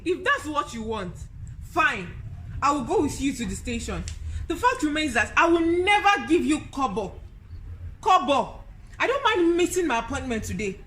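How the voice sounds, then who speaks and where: An actress playing a righteous, outraged motorist with a Nigerian accent, indignant and declamatory in a radio drama. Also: female voice, high voice, sing-song, moderate pace, fairly bright tone, a little hiss or hum, poor audio. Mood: defiant.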